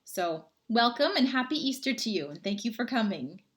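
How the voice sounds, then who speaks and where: A woman's voice: on the high side, expressive, moderate pace, a bit bright. A woman, chatting casually with viewers on a livestream from home and reading out their comments. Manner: chatty, friendly, rambling. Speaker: livestream host. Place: home, indoors.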